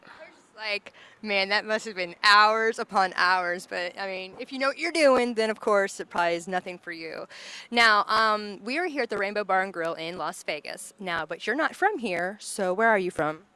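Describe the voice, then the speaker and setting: A woman's voice: mid-range voice, expressive, normal speed, sharp tone, smooth. A woman hosting an interview, chatty and enthusiastic, using "like" a lot. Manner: chatty, enthusiastic, says "like" often. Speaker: host. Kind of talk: casual interview. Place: bar and grill.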